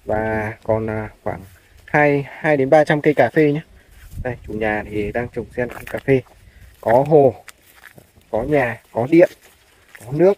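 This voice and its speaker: A man's voice: mid-range voice, a little slow, pauses often, a little mellow. A man working as a real estate agent narrates a walk-through tour of farmland, politely addressing viewers, filmed on a handheld phone. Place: outdoors, walking through farmland. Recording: clear background noise, outdoor phone video.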